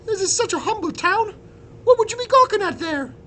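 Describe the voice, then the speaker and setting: A man's voice: very high-pitched, expressive, a little fast, pushed and bright, slight background noise, pitched above the performer's own voice, heavily acted. A man voicing a feisty young female potato resistance fighter from a story, bold and teasing, with an Irish brogue. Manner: teasing, bold, folksy turns of phrase.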